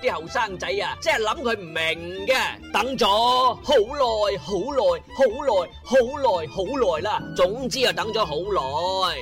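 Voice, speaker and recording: A man with a Cantonese accent from Guangdong narrates a comic audio drama, animated and wry, stretching phrases and adding interjections. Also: high voice, unhurried, hardly any pauses, music in the background. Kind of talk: dramatic comic scripted storytelling.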